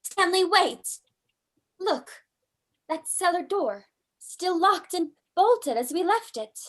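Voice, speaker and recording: A woman acting as a frightened young daughter in an old-time radio chiller. Her voice is high and very expressive, with drawn-out, melodramatic delivery. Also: exaggerated voice. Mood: anxious.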